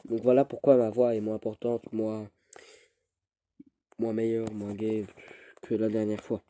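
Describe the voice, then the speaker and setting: Stuffy, mellow teen with a cold. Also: male voice, lowish voice, level tone. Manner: rambling, self-pitying, halting restarts. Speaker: gaming youtuber. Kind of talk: casual gameplay commentary.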